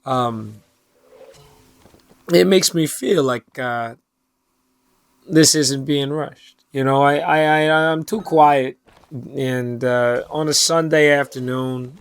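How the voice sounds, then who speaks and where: Young man, 20s, hoarse, raspy, rambling monologue, lots of fillers, casual swearing, middle pitch. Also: fairly even intonation, slow. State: hoarse from losing his voice. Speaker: channel host. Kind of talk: late-night personal monologue.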